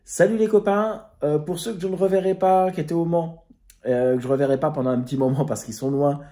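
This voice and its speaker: A man's voice: on the high side, brisk, warm tone. A man hosting an amateur radio channel, talking in a casual, rambling way with many hesitations and restarts. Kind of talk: casual spoken vlog recap.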